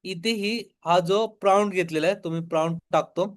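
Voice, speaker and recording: Male tutorial instructor, Marathi accent, notably high voice, explains each step and asks then answers his own questions. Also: a little fast. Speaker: online business tutorial instructor. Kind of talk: step-by-step screen demo tutorial.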